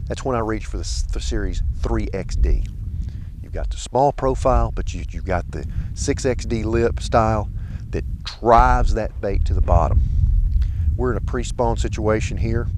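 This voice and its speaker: A middle-aged man hosting a fishing vlog outdoors on a boat, explaining his lures casually and breaking into excited outbursts when a fish bites. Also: on the low side, noisy background. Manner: chatty, excited outbursts while fighting fish. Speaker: pro bass angler hosting a fishing vlog. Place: outdoors on a bass boat on a lake.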